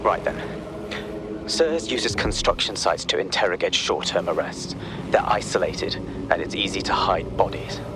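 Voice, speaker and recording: A man's voice: sits low, normal speed, background noise, close to an everyday voice. An actor playing a cold, scheming male spymaster, who speaks in a clipped, menacing, controlled way.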